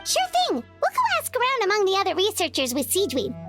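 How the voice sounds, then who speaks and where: Eine quietschhohe, quirlige kleine Feen-Begleiterin aus einem Videospiel, die in der dritten Person von sich redet. Die Stimme springt lebhaft auf und ab, und im Hintergrund läuft deutlich Musik. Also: female voice, wildly swooping pitch, quick pace, over loud music, full character voice. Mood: chirpy. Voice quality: high, bubbly, speaks of herself in third person. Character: tiny floating female fairy companion, chirpy. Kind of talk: video game voice acting.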